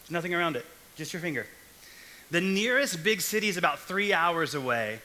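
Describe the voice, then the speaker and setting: A man's voice: a little high, big pitch swings, a little fast, sharp tone, low-quality recording. A young man from Texas giving a casual, joking sermon to a young adult church group. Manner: chatty, jokey asides. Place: church hall stage.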